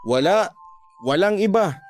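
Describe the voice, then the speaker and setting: A man's voice: high-pitched, lively intonation, unhurried, darkish tone, faint music behind, voice pushed up. A Filipino man voicing a guarded, coy young woman in a radio love drama.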